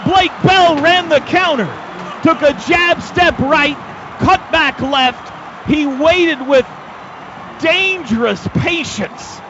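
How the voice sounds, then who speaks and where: Male American play-by-play caller, high voice, dramatic punchy phrasing, heard through a muffled, noisy stadium radio broadcast. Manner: excitable, dramatic build-ups in short punchy phrases. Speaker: play-by-play announcer. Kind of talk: live American football radio commentary.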